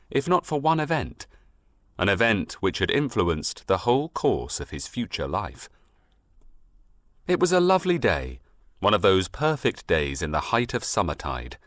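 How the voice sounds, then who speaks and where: A man reads a storybook aloud in a fairly low voice with lively, sweeping intonation. His delivery is measured and expressive, shaped like literary storytelling. The sound is dry and close, with very little room around it. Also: no echo. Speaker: audiobook narrator. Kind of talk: scripted storybook narration.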